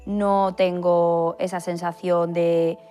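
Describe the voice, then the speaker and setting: A woman with a Castilian Spanish accent speaking plainly and calmly in a documentary interview. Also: on the low side, takes it slowly, smooth, soft background music. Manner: plain-spoken, calm.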